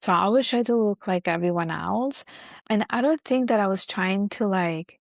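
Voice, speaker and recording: A woman's voice: mid-range voice, normal speed, gravelly, dry recording, studio quality. A young adult woman with an Italian accent, reflecting in casual interview chat with many fillers. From Italy. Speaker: guest.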